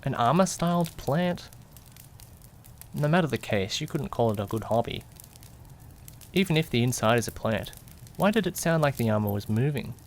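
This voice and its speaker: A man's voice: medium pitch, lively intonation, normal speed, pauses often, a little hiss or hum, natural voice. A man playing a curious, jittery young detective's companion who narrates a mystery audio drama with suspense and questioning asides. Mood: puzzled, uneasy. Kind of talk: amateur audio drama narration in first person.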